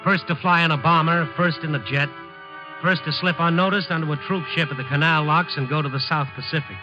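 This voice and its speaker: An actor playing a sardonic, hard-boiled newspaper reporter who narrates and wisecracks drily in a 1950s radio drama. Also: male voice, fairly high pitch, steady tone, fairly quick, music in the background, natural voice. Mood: brooding narration. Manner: dry wisecracking delivery. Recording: muffled old 1950s radio recording.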